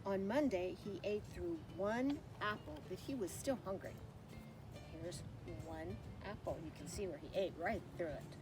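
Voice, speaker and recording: A woman reading a children's story aloud as a naturalist, with simple expressive phrasing and asides that put questions to young listeners. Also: medium pitch, a little slow, music in the background. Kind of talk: children's story time read-aloud with show-and-tell asides.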